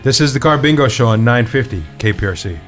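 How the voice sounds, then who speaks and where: A man's voice: mid-range voice, lively intonation, over loud music. Upbeat male radio host. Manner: upbeat, quick reactions, leads the show. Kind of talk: casual radio chat.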